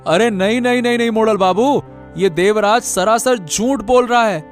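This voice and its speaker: A high-voiced young village man played by a male actor from a cartoon moral tale. He is desperate and pleading, repeating his words as he begs, with soft music underneath. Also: moderate pace, natural voice. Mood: protesting, pleading. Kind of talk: Hindi cartoon moral-story acting.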